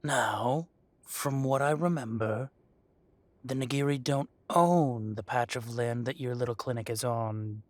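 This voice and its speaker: A man playing an arrogant dragon mafia boss in an audio roleplay, speaking deliberately with mock politeness and quiet threat. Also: medium pitch, no echo, lightly acted. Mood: coolly menacing. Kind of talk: scripted roleplay audio drama monologue.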